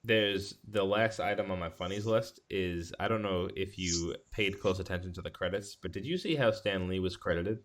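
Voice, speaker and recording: Slightly low-voiced man in casual conversation. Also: normal speed, hardly any pauses, a bit bright. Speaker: podcast co-host. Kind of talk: casual film discussion chat.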